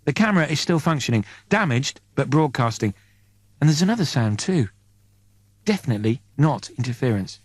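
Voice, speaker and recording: A man reading an audiobook as a dramatic, suspenseful narrator in deliberate short phrases. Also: mid-range voice, sing-song, husky, dry recording.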